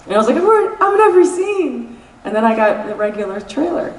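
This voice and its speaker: A woman's voice: a little high, big pitch swings, fast talker, a little mellow, a little hiss or hum. A woman with a New York accent giving a candid, laughing convention interview and swearing freely. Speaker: guest actress. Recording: echoey convention room, mic at a distance.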